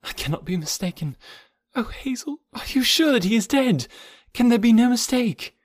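A grief-stricken young American woman, played by a male narrator, pitched high and swooping. The delivery is tender and dramatic, with plaintive, breathy cries, as in an audiobook of an old adventure novel. The sound is close and dry. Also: lively intonation, normal speed, no room sound, full character voice. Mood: anguished. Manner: plaintive, breathy exclamations, anguished.

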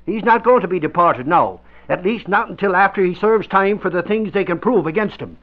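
High-pitched, blustery radio drama sidekick. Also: male voice, lively intonation, fairly quick. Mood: excited, reporting. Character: blustery, excitable man dogging a masked vigilante. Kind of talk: 1940s radio acting. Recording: muffled vintage radio drama recording.

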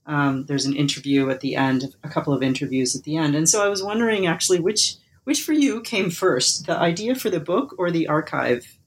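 A woman hosting a book interview podcast, reading a scripted introduction, then asking measured questions with some hesitation. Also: low-pitched, lively intonation, a little hiss or hum. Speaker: podcast host.

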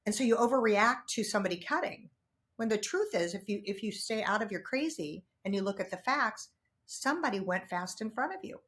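A woman's voice: medium pitch, a little fast. A middle-aged woman, a podcast guest, chatting casually and animatedly, acting out her own thoughts. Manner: animated, acts out her own inner dialogue. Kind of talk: casual chat.

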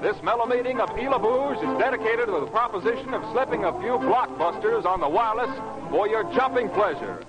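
An old radio recording of a man with a high voice over loud music. He announces in 1940s jive style, rolling out theatrical, alliterative patter. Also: fairly quick, dull audio.

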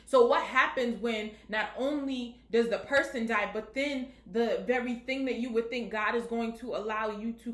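A woman's voice: a little high, a bit bright. Woman preaching in an echoey room. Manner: rhetorical questions with repeated "right?". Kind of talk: sermon on singleness.

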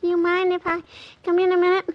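A woman's voice: very high-pitched, noisy background. A woman playing a chatty, cheeky little schoolgirl in an old-time radio comedy. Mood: chatty, eager. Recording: dull vintage radio recording.

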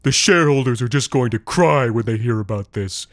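A man voicing a huge, menacing businessman giant who talks in a booming, sarcastic way. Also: expressive, no room sound, studio quality, close to an everyday voice. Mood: indignant. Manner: slow, theatrical, swings loud then soft. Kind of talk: storytelling narration.

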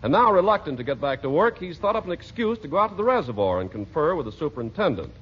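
A man's voice: on the high side, big pitch swings, fast. A man announcing and narrating in polished, emphatic 1940s radio style, reading scripted sponsor copy and story links. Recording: muffled, noisy 1940s radio recording.

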